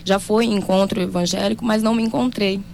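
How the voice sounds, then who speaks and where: A woman from Brazil giving a soft-spoken, halting and heartfelt personal testimony. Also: mid-range voice, steady tone, vocal fry, slight background noise.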